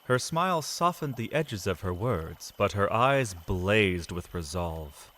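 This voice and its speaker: Low-voiced man narrating a dramatic tale. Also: big pitch swings, fairly bright tone. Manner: measured, literary storytelling. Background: music.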